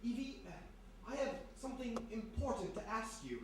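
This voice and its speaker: Man acting as shy young gentleman suitor, halting speech, vintage radio drama, very echoey, noisy live room. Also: very high-pitched, expressive, recorded from a distance. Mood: nervous, bashful. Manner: halting, stammering. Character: nervous, bashful young male embassy bureaucrat in love.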